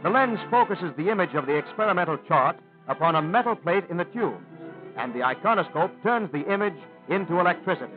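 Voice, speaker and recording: American man, high-set voice, formal newsreel narration, declarative announcer delivery, thin narrow vintage film soundtrack. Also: animated, a little fast, soft background music. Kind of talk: 1930s newsreel-style scripted narration.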